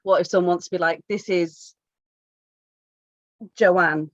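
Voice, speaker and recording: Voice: mid-range voice, steady tone, a little slow, fairly bright tone, no echo. Podcast co-host, teasing, inquisitive, muffled dry audio. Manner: teasing, laughs a lot, asks questions. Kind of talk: casual podcast chat.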